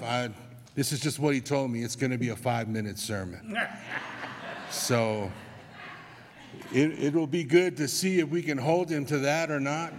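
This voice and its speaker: A man from Tonga whose first language is Tongan, explaining a church custom to the congregation in a measured way with restarts. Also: mid-range voice. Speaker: church member giving an announcement. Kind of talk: unscripted church announcement. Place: church sanctuary. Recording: long church hall reverb.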